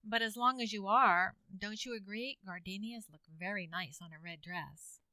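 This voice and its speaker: A woman's voice: mid-range voice, moderate pace, bright, forceful tone, smooth, no echo, lightly acted. A woman playing a sweet, coy, gushing teenage girlfriend in an old-time radio comedy.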